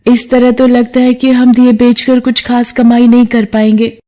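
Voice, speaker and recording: A woman's voice: fairly high pitch, monotone, moderate pace, mellow, voice pushed up, lightly acted. A woman voicing an earnest, emotional poor young girl in a moral story, with a plaintive manner. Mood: worried, downcast.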